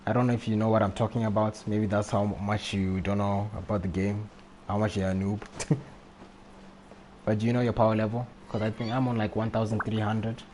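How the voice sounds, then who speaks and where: A man's voice: lowish voice, little pitch movement, a little fast, darkish tone, slight background noise. A man chatting casually on a gaming livestream, rambling and repeating himself as he goes. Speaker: live streamer.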